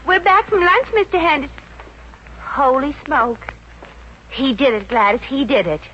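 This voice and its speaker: Sassy blonde secretary, American, bright and punchy, vintage radio comedy with audible noise. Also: female voice, sits high, measured pace. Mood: alarmed, remorseful. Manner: wry, slangy, sassy. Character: brassy, wisecracking, good-hearted blonde showgirl secretary. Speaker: lead actress in a radio comedy. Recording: muffled, noisy 1950s radio recording.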